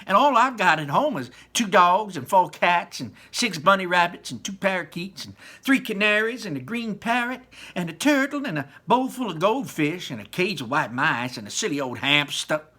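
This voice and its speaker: A man's voice: sits high, very animated, normal speed, few pauses, pushed and bright, voice pushed up, heavily acted. A man voicing a spoiled, demanding little girl in a whiny, bratty way while reading a storybook aloud.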